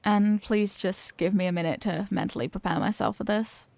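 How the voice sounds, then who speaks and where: A woman's voice: mid-range voice, moderate pace, vocal fry, a little mellow, no echo, natural voice. A young woman acting a flustered, coy popular cheerleader girl with a secret crush, in an intimate ASMR romance roleplay. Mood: reluctant. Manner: coy, flustered, dramatic, with hesitant stammers.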